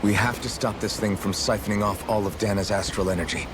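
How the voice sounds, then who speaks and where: An actor playing a steady, earnest young swordsman leader in a video game, direct, with music behind. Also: fairly low pitch, fast, noisy background, close to an everyday voice. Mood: resolute. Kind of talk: English video game cutscene acting.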